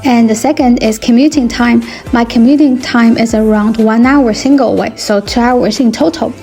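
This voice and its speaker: A young woman from China, a native Chinese speaker, calmly explaining things in a scripted vlog narration. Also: on the high side, normal speed, soft background music. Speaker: vlogger.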